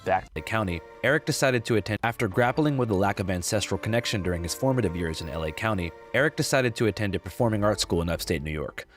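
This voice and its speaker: A man narrating a documentary from a script in a wry, deadpan way. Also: on the low side, quick pace, hardly any pauses, soft background music.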